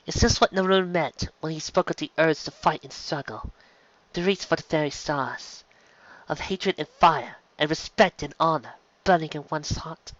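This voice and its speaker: A man's voice: on the high side, moderate pace, fairly bright tone, dry recording, dull audio. A man reading science fiction aloud as an amateur audiobook narrator, in a dramatic storytelling style.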